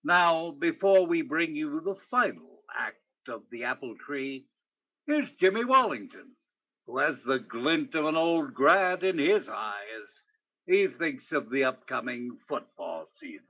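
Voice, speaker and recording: A man's voice: fairly high pitch, very animated, unhurried, narrow, tinny audio, heavily acted. A man playing a formal, polished 1940s radio drama host with theatrical delivery. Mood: formal, genial.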